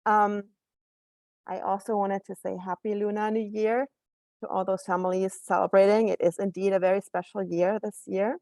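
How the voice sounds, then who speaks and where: A woman with a German accent speaks over a video call, earnest and measured, pausing often as she finds her words. Her voice is mid-pitched and sounds close and dry, with no room around it. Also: flat delivery, dark tone, dry recording. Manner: earnest, with frequent hesitations. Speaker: council member. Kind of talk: meeting remarks.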